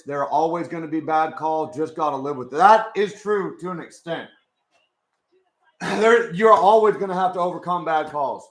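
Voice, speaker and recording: A young man with a hoarse voice, chatting casually with his live chat as he watches a football game. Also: on the high side, fairly bright tone, roomy sound. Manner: chatty, swears, talks to chat. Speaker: live stream host.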